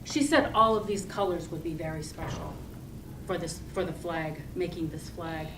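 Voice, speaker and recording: A woman giving a rehearsed storytelling speech to an audience, speaking deliberately and expressively with pauses. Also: on the low side. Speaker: club speaker giving a tall tale speech. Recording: roomy, distant mic with loud noise.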